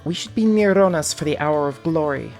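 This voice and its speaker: Woman playing an impatient, low-voiced temple vizier. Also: expressive, brisk, darkish tone, faint music behind. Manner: wry, questioning. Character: impatient, skeptical female temple vizier, a master of poisons. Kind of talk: fantasy audio drama acting.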